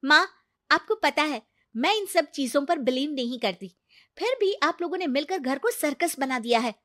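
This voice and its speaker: Woman voicing a high-pitched spirited young heroine. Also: animated, normal speed, a bit bright, no room sound, studio quality. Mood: annoyed. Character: kind, headstrong, independent young woman.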